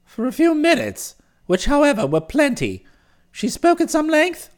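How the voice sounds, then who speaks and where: A man playing a genial, excitable young upper-class English gentleman in an audiobook, breezy and chatty, with an upper-class British accent. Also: very high-pitched, normal speed, heavily acted. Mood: eager, astonished. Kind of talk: audiobook first-person narration and dialogue.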